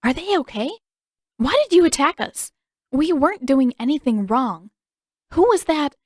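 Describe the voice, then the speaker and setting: A woman's voice: sits high, animated, moderate pace, darkish tone, dry recording, studio quality, pitched above the performer's own voice. A woman voicing an indignant, frightened teenage girl, firing off protesting questions for an audiobook story. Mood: alarmed, indignant.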